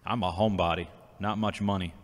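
A man's voice: sits low, fairly even intonation, brisk, lightly acted. A man playing an awkward, reserved homebody in an audio drama. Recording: long echoey reverb.

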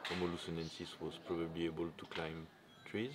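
A French man in his forties with a very low voice gives unscripted interview answers as a scientist. His English carries a French accent, and there is clear noise around him in the open air. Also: little pitch movement, fairly quick. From France. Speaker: archaeologist being interviewed. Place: open cave mouth at a dig site. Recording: noisy outdoor field recording.

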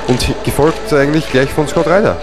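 A man's voice: on the high side, a little fast, over music. A man doing comedic wrestling commentary, joking around and dropping in mock-French phrases.

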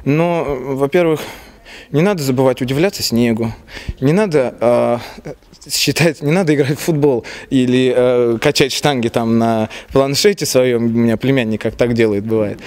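A man's voice: medium pitch, normal speed, darkish tone. A man in his twenties giving an earnest, discursive spontaneous television interview as a stage actor. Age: 20s. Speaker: theatre actor. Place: empty theatre auditorium, handheld reporter's mic.

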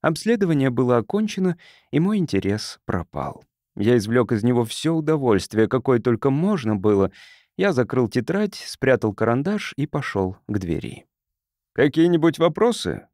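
A man's voice: mid-range voice, big pitch swings, moderate pace, a little mellow, no echo, clean studio sound. A man reading fiction as an audiobook narrator, in a scripted storytelling style.

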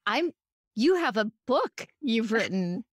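A woman's voice: fairly high pitch, big pitch swings, takes it slowly, no room sound, studio quality. A woman hosting a podcast, chatting casually and effusively with her guest in a friendly interview style. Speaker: podcast host.

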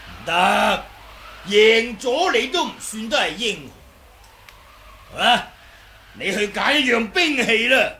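An actor playing a boastful, wily, comical old martial artist in a Hong Kong Cantonese radio drama, chattering and teasing in drawn-out phrases. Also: male voice, sits high, big pitch swings, pushed and bright, slight background noise, exaggerated voice. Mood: chatty, amused. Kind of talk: 1980s radio drama acting.

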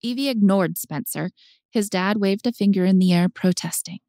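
A woman's voice: mid-range voice, moderate pace, mellow, dry recording, clean studio sound. A woman narrating a story for an audiobook in a scripted style.

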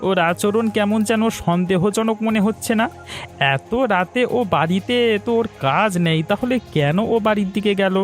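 A man's voice: high-pitched, moderate pace, soft background music, natural voice. A man voicing a loyal, cautious village friend in a cartoon, earnest and respectful. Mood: wary. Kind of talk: dramatic cartoon dialogue.

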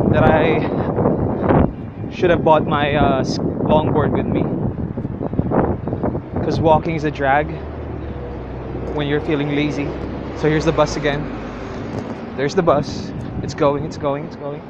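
A young man from the Middle East talks to his handheld camera in a loose, rambling vlog style, stopping often to fix his own words. The sound is dull and lo-fi, with loud street and traffic noise behind him. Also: on the high side, fairly even intonation, takes it slowly, pauses often. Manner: chatty, rambling, self-correcting. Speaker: vlogger. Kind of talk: casual vlog narration. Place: outdoors in a hot city, then a metro station and a cafe. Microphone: selfie camera at arm's length.

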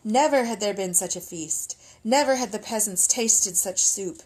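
A woman's voice: mid-range voice, moderate pace, a little mellow, slightly rough, rough recording. A middle-aged woman reading a picture book aloud expressively as a storytime reader, indoors at a table.

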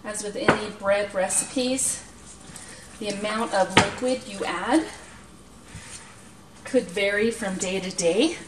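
A middle-aged woman demonstrating a recipe step by step in a plain, practical, unhurried way. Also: medium pitch. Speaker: home cooking show host. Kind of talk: cooking demonstration, explaining steps. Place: small home kitchen, indoors. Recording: roomy, distant mic, noisy kitchen clatter. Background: clattering dishes, utensils and clicks.

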